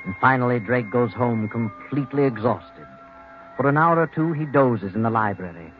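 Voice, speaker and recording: A man playing a knowing, sardonic storyteller, narrating an old-time radio mystery in a measured, ominous manner. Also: mid-range voice, animated, lightly acted. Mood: ominous narration. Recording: muffled old radio with loud music.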